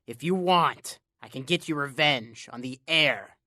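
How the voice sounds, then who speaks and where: A woman playing a sly, small, thin male stranger in an audio drama, coaxing and insinuating with dramatic pauses. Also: very deep voice, pushed and bright, no echo, clean studio sound, full character voice. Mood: strained, coaxing.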